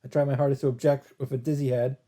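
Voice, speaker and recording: A man's voice: medium pitch, little pitch movement, fast, warm tone. A man casually reading a visual novel aloud as a let's player, dropping in his own reactions and asides. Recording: music behind the voice. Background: game music.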